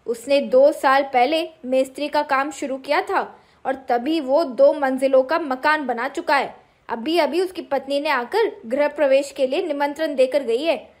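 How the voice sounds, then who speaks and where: A woman playing a discontented village wife, complaining and scolding in a cartoon moral story. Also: high voice. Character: discontented poor village wife, nagging and dismissive. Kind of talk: animated moral story dialogue.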